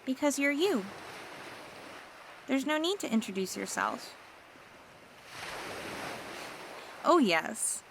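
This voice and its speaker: A woman voicing a seductive, scheming mermaid in an ASMR roleplay, talking in a teasing, flattering, coaxing way. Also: on the high side, noisy background, heavily acted.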